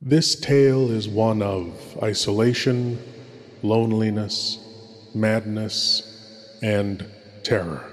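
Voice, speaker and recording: A man playing a theatrical horror host, sinister and grandly courteous, speaking in dramatic, ornate phrases with long pauses. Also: on the low side. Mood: ominous. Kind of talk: theatrical scripted horror introduction. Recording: long cavernous reverb.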